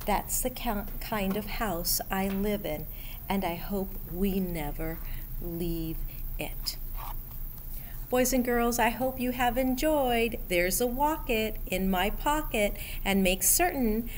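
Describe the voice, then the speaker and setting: A middle-aged woman reading a picture book aloud to children in an expressive, deliberate way, pausing as she addresses young listeners. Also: medium pitch. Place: school library room, indoors. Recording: steady background room noise.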